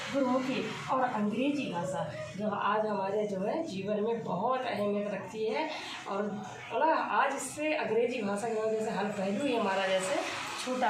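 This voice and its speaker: A woman teaching a grammar lesson, asking questions and answering them herself, repeating the key points. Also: on the high side. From India. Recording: echoey room, far from the mic, steady noise.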